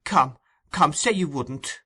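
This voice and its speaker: A man's voice: a little high, animated, fairly quick, bright, forceful tone, voice pushed up. A man voicing a weary young woman who pleads tenderly in a raised voice. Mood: tender, pleading.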